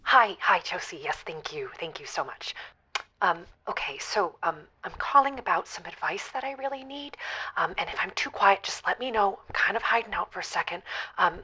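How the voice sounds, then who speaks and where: An actress playing a nervous bridesmaid caller who talks in a hushed, hesitant way. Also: female voice, medium pitch, lively intonation, normal speed, slightly rough, rough recording. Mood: anxious. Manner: hushed, hesitant, lots of ums. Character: anxious young woman bridesmaid hiding.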